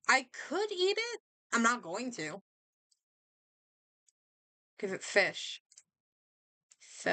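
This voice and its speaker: A woman streamer chatting casually and thinking aloud in an expressive way as she comments on a game. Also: mid-range voice, dry recording.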